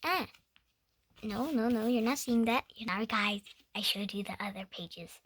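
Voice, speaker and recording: High-pitched young girl reading slowly. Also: fairly bright tone, slightly rough. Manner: stumbling over words and correcting herself. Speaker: storybook reader. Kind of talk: reading a picture book aloud with playful asides.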